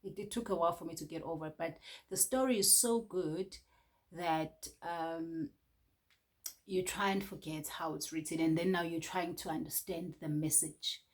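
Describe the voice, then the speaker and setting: A woman's voice: on the low side, moderate pace. A Nigerian woman reviewing a book in a casual chat, hesitant, with many fillers and restarts.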